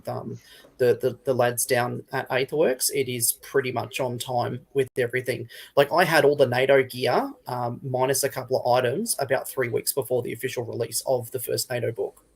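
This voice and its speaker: A man's voice: medium pitch, steady tone, moderate pace, creaky, slightly rough. Chatty Australian man, casual guest. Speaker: guest, games retailer. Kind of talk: casual livestream interview.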